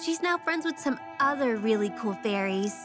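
A high, swooping voice for a sly young cartoon fairy acted by a woman. She is haughty and falsely innocent, with music playing clearly behind her. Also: animated, normal speed, natural voice. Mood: slyly deceitful. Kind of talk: children's cartoon dub.

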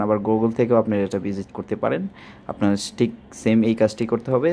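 A man's voice: on the low side, warm tone. A man with a Bangladeshi accent giving an informal sales demonstration of a product, repeating himself and mixing in English words. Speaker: product presenter and seller. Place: indoors at a table. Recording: clearly audible background ambient noise.

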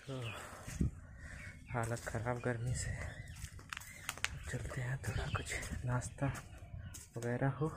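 A man's voice: mid-range voice, slow pace, pauses often. A young man vlogging casually outdoors as he shows his village garden and explains the work. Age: young adult. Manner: relaxed, explains while showing his garden. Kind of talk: casual village vlog narration. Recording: noisy outdoor ambience.

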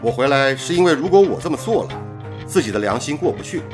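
An actor playing an earnest, patriotic radio engineer in a radio drama, speaking with measured conviction. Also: male voice, medium pitch, expressive, lightly acted. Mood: earnest, reflective. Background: music.

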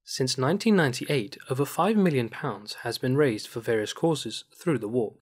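Husky guy doing a studio news voice-over, steady and even. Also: medium pitch, normal speed. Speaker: news reporter. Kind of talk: scripted news narration.

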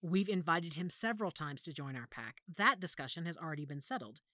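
A woman playing a motherly, firm female werewolf pack leader in an audio drama, measured and authoritative. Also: sits low, expressive, sharp tone, no echo, clean studio sound, exaggerated voice. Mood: firm, stern.

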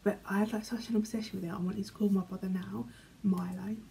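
Chatty young British woman, mellow voice. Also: medium pitch, flat delivery, fast talker, creaky, a little hiss or hum. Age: young adult. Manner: chatty, filler-heavy, often trails off quietly. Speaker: vlogger. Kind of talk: casual chat, reading questions aloud. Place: small bedroom, indoors. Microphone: camera in front, medium framing.

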